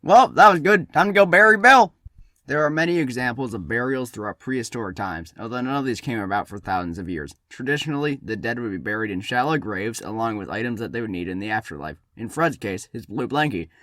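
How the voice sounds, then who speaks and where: A man's voice: fairly low pitch, expressive, fast, few pauses, a bit bright, no echo, clean studio sound. A man hosting a humorous history series, narrating from a script with wry, tongue-in-cheek asides. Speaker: host of a comedic history series.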